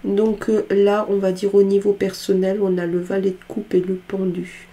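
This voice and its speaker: Woman w a stuffy cold doing a tarot reading, mellow dark voice, keeps hesitating. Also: medium pitch, monotone, normal speed. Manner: hesitant, many filler sounds. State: has a cold, congested voice. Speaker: tarot card reader. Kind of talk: casual weekly tarot reading.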